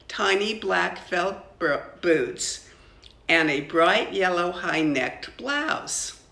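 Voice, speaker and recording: Bright-voiced older woman reading, echoey room. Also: on the low side, gravelly. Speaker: grandmother reading aloud. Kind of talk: children's storybook narration. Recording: echoey, roomy sound.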